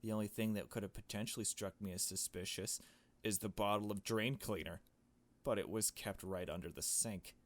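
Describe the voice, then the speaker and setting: A man reading a visual novel aloud as a let's player, in hushed storytelling narration with casual asides. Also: on the low side, steady tone, moderate pace.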